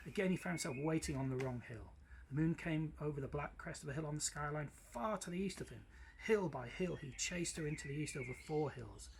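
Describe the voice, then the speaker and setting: A man reading a children's story aloud in an expressive storytelling style. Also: on the high side. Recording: clearly noisy background.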